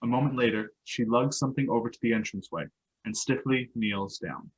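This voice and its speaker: Male narrator for a play reading, husky mid-range voice, scripted stage directions. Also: medium pitch, a little fast, lo-fi sound.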